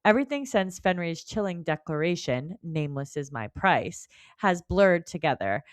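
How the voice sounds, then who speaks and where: A woman's voice: lowish voice, animated, normal speed, no room sound. A woman co-hosting a book club podcast, speaking in an informal, colloquial way as she chats and recaps. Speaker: podcast co-host. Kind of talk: casual book club chat with read-out chapter recap.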